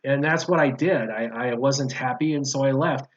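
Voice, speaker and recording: A man's voice: mid-range voice, moderate pace. A man talking casually and with strong opinions as a guest in a gaming discussion, repeating his points for emphasis. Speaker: guest, former mobile game player. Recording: dull lo-fi audio.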